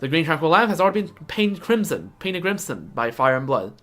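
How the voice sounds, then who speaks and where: A man who speaks English as a second language, streaming a game with casual, halting commentary and lore reading. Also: a little high, sing-song, fairly bright tone. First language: not English. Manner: halting, casual, reacting aloud.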